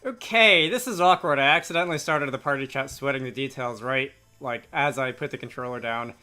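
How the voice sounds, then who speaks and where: A man's voice: medium pitch, fairly quick, pushed and bright, faint music behind. A man giving casual gameplay commentary with dry, wry asides. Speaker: let's player. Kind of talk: casual gameplay commentary with read-aloud dialogue.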